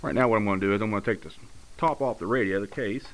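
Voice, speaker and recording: A man's voice: on the low side, very fast. A man giving a casual, hands-on technical walkthrough as a hobbyist, explaining things in a matter-of-fact way. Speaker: radio hobbyist demonstrating his conversion work. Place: indoor room at a home radio bench. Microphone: handheld camera's built-in mic. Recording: noisy amateur handheld camera audio. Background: clear background noise.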